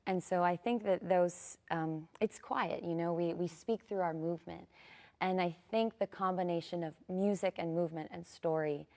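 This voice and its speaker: A woman's voice: fairly low pitch, fairly even intonation, normal speed, a little mellow. A woman guest on a TV morning show, speaking earnestly with fillers about a ballet. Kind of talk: TV interview.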